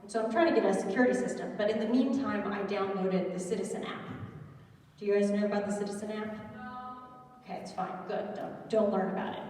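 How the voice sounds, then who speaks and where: A young adult woman doing a loud, chatty, self-mocking stand-up comedy set on stage. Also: mid-range voice, fast talker. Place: large hall at a culture show. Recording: echoey hall, mic heard from far off.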